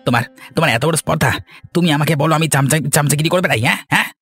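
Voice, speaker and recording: A man's voice: on the high side, very fast, a bit bright, husky, dry recording, soft background music. A man playing a hot-headed, envious, hard-up village husband in a cartoon, with a blustering, aggrieved manner. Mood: indignant, angry. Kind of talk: scripted cartoon dialogue.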